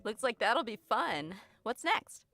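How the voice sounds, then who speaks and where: A woman's voice: a little high, very animated, unhurried, vocal fry, sharp tone. A woman co-hosting a game update program, reacting enthusiastically with giggles. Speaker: program co-host. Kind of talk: scripted co-hosting.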